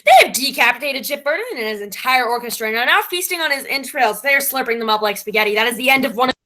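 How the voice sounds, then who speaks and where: Woman as female radio drama announcer, forceful bright voice, fairly high, earnest, melodramatic. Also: animated, fast. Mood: grim, dramatic. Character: earnest, melodramatic self-styled girl genius radio announcer. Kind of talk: melodramatic old-time radio play narration.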